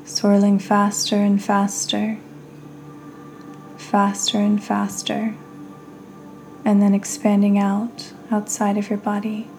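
Woman doing a guided meditation, mellow n soothing, really slow w long pauses. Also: mid-range voice, monotone, vocal fry, soft background music. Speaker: podcast host and meditation guide.

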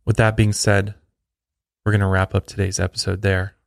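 A man's voice: fairly low pitch, little pitch movement, moderate pace, vocal fry, no echo, studio quality. A man hosting a podcast, reading a scripted true crime narration. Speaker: podcast host.

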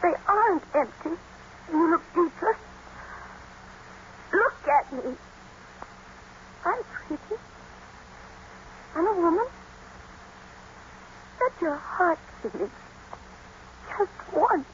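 An actress playing a lovelorn, unhappy young wife, earnest and melodramatic, in 1950s radio drama style. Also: female voice, very high-pitched, animated, takes it slowly, long pauses, exaggerated voice. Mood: desperate. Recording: dull old radio broadcast, faint noise.

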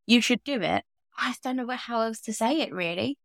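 A woman's voice: fairly high pitch, lively intonation, moderate pace, gravelly, a bit bright, no room sound, clean studio sound. A woman co-hosting a podcast in casual chat, joking and rambling off on tangents. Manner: bubbly, rambling, jokey tangents. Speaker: podcast co-host.

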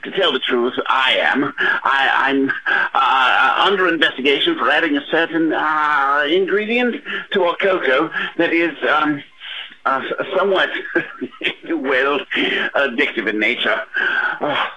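An actor playing a flustered, evasive factory owner who stammers and chuckles nervously through an audio drama voicemail. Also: male voice, on the high side, a little slow, bright, forceful tone. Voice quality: stammering, hesitant. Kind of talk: audio fiction voicemail monologue. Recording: muffled noisy phone voicemail.

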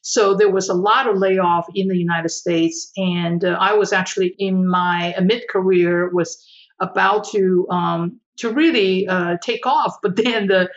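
Older woman, chatty interview guest, pauses a lot and restarts, kinda dull lo-fi sound. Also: lowish voice, steady tone. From Taiwan. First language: Chinese. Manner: measured, with frequent fillers and restarts. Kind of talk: reflective conversational storytelling.

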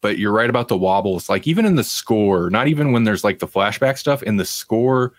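A man with a fairly low voice co-hosts a casual review podcast. He talks in a rambling, conversational way, and the sound is dry with very little room in it. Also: expressive, fairly quick, dry recording. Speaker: podcast co-host. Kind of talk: casual review chat about TV.

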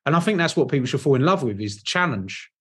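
A man's voice: mid-range voice, lively intonation, quick pace, a bit bright, breathy edge. A man hosting a business podcast, asking long, encouraging questions with small restarts. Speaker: podcast host. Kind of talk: interviewing.

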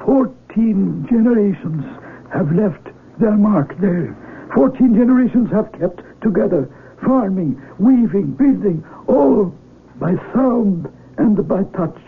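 High-voiced, gentle old village elder. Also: male voice, animated, creaky, exaggerated voice. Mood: kindly, grave. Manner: deliberate, halting, solemn, kindly. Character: gentle, wise old village elder, blind, calm and patriarchal. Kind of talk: 1970s radio drama acting. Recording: tinny, noisy 1970s AM radio recording.

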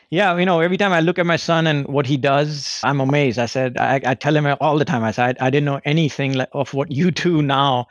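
A man's voice: fairly high pitch, fairly even intonation, fairly quick, no echo, highs cut off. A man from India in his thirties, answering interview questions in a hesitant way with many restarts. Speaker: guest.

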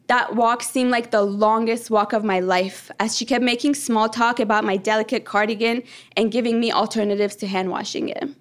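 Earnest young woman telling a personal story. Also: a little high. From Iran. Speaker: storyteller, PhD candidate. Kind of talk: first-person stage storytelling.

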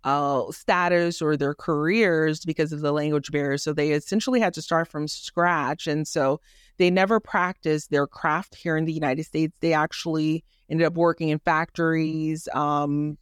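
Clear, smooth-voiced woman, earnest podcast guest. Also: sits low, steady tone, moderate pace, no echo, studio quality. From born in Haiti, raised in Miami. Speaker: podcast guest, doctor and rental operator. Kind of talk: conversational personal storytelling.

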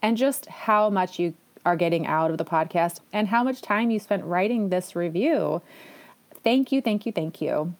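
A woman's voice: fairly low pitch, animated, moderate pace. Chatty, enthusiastic woman podcast host. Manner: chatty and enthusiastic, self-deprecating asides. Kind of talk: casual solo podcast talk.

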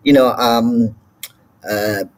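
A man's voice: medium pitch, steady tone, extremely slow. A man answering on a panel, hesitant, with many ums and restarts. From Malaysia. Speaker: panel speaker. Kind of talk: casual spoken answer on a panel.

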